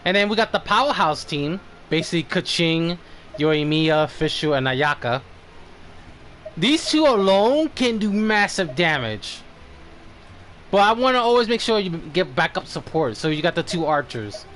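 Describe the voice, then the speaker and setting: A man with a fairly high, very lively voice commentates casually on the game he is streaming. He talks informally, half to himself, and swears, over clearly noisy audio. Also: a little slow. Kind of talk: casual live gameplay commentary.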